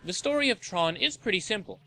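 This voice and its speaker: A man's voice: high voice, lively intonation, fairly quick, bright, forceful tone. A man reading a scripted review narration as the host of a countdown list video.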